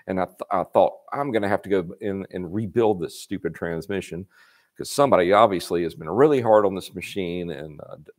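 A man, hosting a live Q&A from a workshop and giving casual, folksy repair advice. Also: low voice. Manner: folksy, chatty, reads questions aloud and adds anecdotes. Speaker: parts company host answering viewer questions. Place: workshop garage with motorcycles, indoors.

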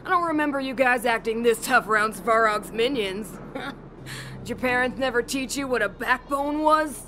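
A woman's voice: fairly high pitch, moderate pace, a bit bright, a little hiss or hum, lightly acted. An actress voicing a defiant, indignant young woman miner in a dramatic video game scene. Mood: scornful, indignant. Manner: indignant, scornful. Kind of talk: dramatic game dialogue acting.

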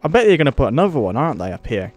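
A man's voice: medium pitch, big pitch swings, very fast, a little mellow, faint music behind. A man with a British accent giving casual, wry commentary while playing a game and laughing at it. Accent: British English. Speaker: let's play host.

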